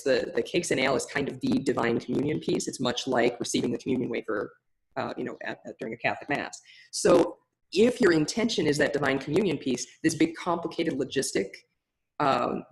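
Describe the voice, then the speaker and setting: A woman with a big, loud voice teaching in a webinar, talking through ideas in a chatty way with frequent restarts. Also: deep voice, creaky, rough recording. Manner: chatty, many restarts and fillers. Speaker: ritual facilitation teacher. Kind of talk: webinar teaching, answering a question.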